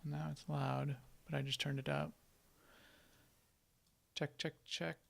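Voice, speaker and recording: A man's voice: fairly high pitch, little pitch movement, takes it slowly, vocal fry. A man live-streaming an online game, chatting casually and answering viewers' questions as he plays.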